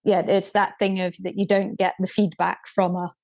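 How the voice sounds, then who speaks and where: A woman speaking as a podcast guest, explaining things in a measured way with frequent restarts. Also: mid-range voice, flat delivery, a little mellow. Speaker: guest, deputy programme director. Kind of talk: casual podcast conversation.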